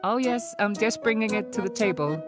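A woman's voice: medium pitch, a little fast, close to an everyday voice. A woman voicing a cheerful, motherly mama bear in a children's cartoon. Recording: loud music behind the voice.

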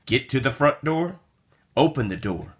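A man reading a children's picture book aloud in an expressive, sing-song way with drawn-out words. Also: medium pitch. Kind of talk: animated children's read-aloud.

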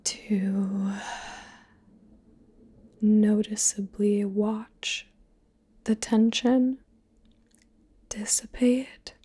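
A young woman whispering softly and intimately in an ASMR roleplay, close to the mic, with deliberate pauses. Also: a little high. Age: young adult. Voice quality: breathy whisper. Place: small living room, indoors.

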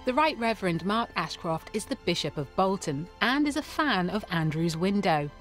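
A woman delivers smooth, scripted voice-over for a television feature. Her mid-pitched narration runs over faint background music. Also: lively intonation, normal speed. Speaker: TV presenter. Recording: faint music bed underneath.

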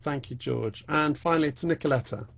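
Guy hosting a zoom panel from his living room, friendly, quick handoffs. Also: a little high, gravelly. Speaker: panel host. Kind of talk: moderating a video panel. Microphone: video call webcam.

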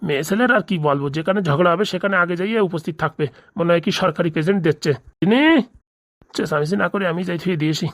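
A man's voice: high voice, rapid-fire, a bit bright, dry recording, clean studio sound. A man voicing a grumbling, distrustful middle-aged father in a comic cartoon, with colloquial Bengali-accented rambling. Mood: bossy, exasperated.

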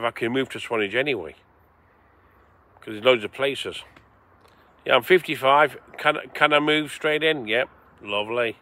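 A man's voice: medium pitch, bright, forceful tone. An English man in his forties, rambling casually to viewers at night, swearing freely. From England. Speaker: vlogger. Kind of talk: casual rambling livestream chat. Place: outdoors at night by the seaside.

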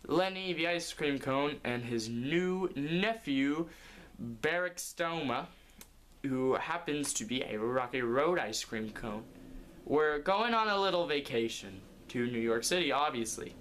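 A man's voice: mid-range voice, expressive, measured pace, fairly bright tone. A young man improvising a comic story as its narrator, recorded indoors in a living room. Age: young adult.

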